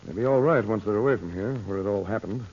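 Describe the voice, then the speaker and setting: An actor playing a middle-aged magician sleuth in an old radio serial, commanding but kindly, with a measured, thoughtful way of speaking. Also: male voice, on the low side, a little mellow, lightly acted. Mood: concerned. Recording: muffled, hissy old radio recording.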